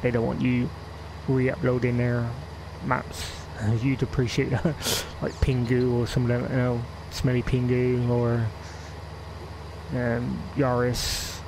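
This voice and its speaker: A man's voice: medium pitch, monotone, takes it slowly, noisy background. A man with a West Country English accent chatting casually while streaming a game, rambling and laughing often. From England. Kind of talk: casual live-stream chat.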